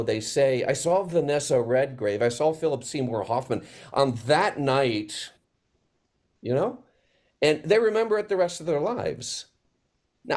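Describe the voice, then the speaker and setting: A man's voice: medium pitch, a little mellow. Man, theatre panel guest, emphatic, repetitive phrasing, conversational. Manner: emphatic, repeats phrases for effect.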